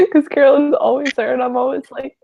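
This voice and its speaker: A woman's voice: sits high, lively intonation, moderate pace, creaky, dark tone. A young woman from Canada chatting casually in a group, blunt and teasing, swearing now and then. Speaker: chat participant. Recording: dull lo-fi recording.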